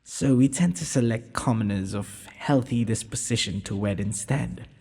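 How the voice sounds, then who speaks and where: Guy doing a gentle young prince for romance roleplay, soft husky breathy voice, polite n formal, bit nervous, big echoey hall. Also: mid-range voice, normal speed. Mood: earnest, dutiful. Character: gentle, courteous young underground prince, nervous, earnest suitor. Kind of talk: romantic audio roleplay acting. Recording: long hall-like reverb.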